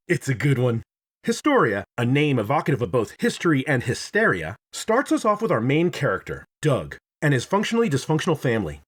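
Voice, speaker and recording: A man reviewing a video game in scripted narration, dramatic and playful, with emphatic phrasing and drawn-out words. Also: a little high, slightly rough.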